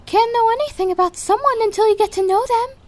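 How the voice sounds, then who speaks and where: A woman's voice: very high-pitched, fast talker. A woman playing a sweet, curious young female wolf in a scripted fantasy drama, innocent and inquisitive. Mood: friendly.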